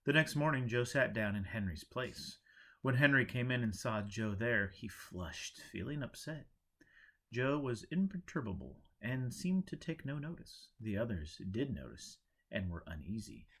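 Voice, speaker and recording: A man's voice: medium pitch, very animated, moderate pace, a bit bright. A man reading a story aloud in a scripted narration style, as an audiobook reader.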